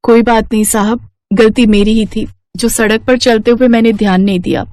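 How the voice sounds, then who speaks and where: A woman's voice: fairly high pitch, fairly even intonation, moderate pace, darkish tone, close to an everyday voice. A woman as a humble poor mother. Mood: apologetic. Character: poor widowed mother, humble and caring housemaid.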